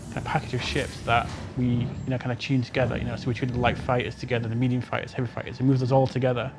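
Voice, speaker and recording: A man's voice: mid-range voice, level tone, rapid-fire, noisy background. A man answering questions as a game developer on a panel, explaining informally in a loose, hedging way. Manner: hedging, explanatory, peppered with "you know" and "kind of".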